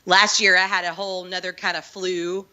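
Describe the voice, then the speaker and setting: A woman in her thirties with a Texan accent joins by video call. She is hoarse from the flu and talks casually, with lots of "like"s. Her voice sits low, with a bright edge. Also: level tone, moderate pace. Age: 30s. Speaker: guest. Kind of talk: casual remote interview.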